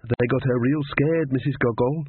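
A man's voice: mid-range voice, steady tone, moderate pace, slightly rough, close to an everyday voice. A man voicing a fat, jolly female market cook who talks in a folksy, eager, deferential way. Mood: curious, impressed. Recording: roomy, phone-like radio sound.

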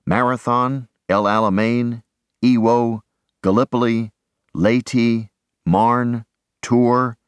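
A man giving measured, reflective first-person narration of an audiobook. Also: medium pitch, smooth, no echo.

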